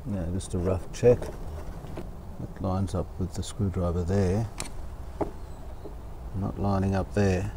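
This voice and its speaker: A man from Australia narrating hands-on repair work casually, unhurried and halting as he thinks aloud. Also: deep voice, little pitch movement, noisy background. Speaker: radio restorer presenting his own repair videos.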